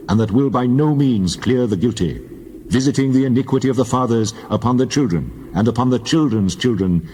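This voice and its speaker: A man's voice: medium pitch, mellow, a little hiss or hum, close to an everyday voice. A man playing God in a dramatized scripture reading, speaking with solemn, declarative authority. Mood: solemn, proclaiming. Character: God, solemn commanding male divine voice.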